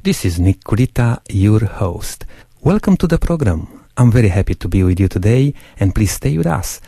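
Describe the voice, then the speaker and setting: A man's voice: on the low side, big pitch swings, normal speed, vocal fry, dark tone, dry recording, clean studio sound. Hesitant European-accented male host. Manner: hesitant, many fillers. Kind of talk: radio interview.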